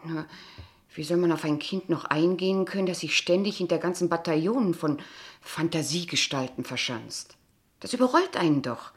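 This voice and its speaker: Low-voiced, probing kindergarten teacher played by a woman. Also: lively intonation, normal speed, close to an everyday voice. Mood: insistent, disapproving. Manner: formal, insistent, questioning. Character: polite, probing, overprotective female kindergarten teacher, quietly disapproving. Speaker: actor in a radio play. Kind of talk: dialogue radio play acting in standard German.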